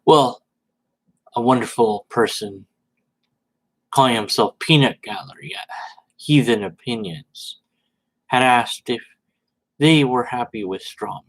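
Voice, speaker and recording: A man reading social media posts aloud in a dramatic, sarcastic way, with mocking commentary and hesitant pauses. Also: mid-range voice, a bit bright, poor audio.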